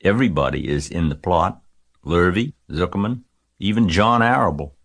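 Man as a blunt, low old sheep. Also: expressive, normal speed, a bit bright, full character voice. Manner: matter-of-fact, gloomy, snappish.

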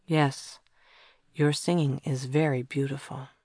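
A calm, gentle young man, read by a woman narrating a storybook. He speaks low and quietly, at an unhurried pace. The sound is dry and close. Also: slightly rough, no echo, heavily acted. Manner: quiet and steady.

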